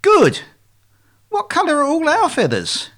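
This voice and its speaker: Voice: medium pitch, huge pitch swings, moderate pace, pitched above the performer's own voice. Man playing an emphatic, exasperated swan leader. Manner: patient explaining, strained, emphatic. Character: male swan leader, well-meaning but increasingly exasperated.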